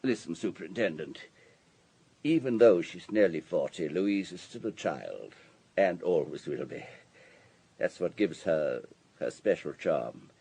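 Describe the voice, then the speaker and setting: A wealthy man in his sixties in a radio drama. His husky voice rises and falls animatedly as he holds forth, urbane and paternal, like one used to arranging other people's lives. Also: low-pitched, expressive, a little slow, dark tone, heavily acted. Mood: earnest, confiding. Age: 60s. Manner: urbane, discursive, worldly. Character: wealthy older businessman, urbane, paternal ex-husband.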